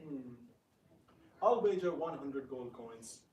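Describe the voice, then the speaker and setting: A man playing a dignified, stubborn divine prince with a quick temper in a dramatic reading, speaking formally and firmly. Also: mid-range voice, lively intonation, a little mellow. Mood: composed. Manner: formal, firm, deliberate. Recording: echoey room, distant microphone.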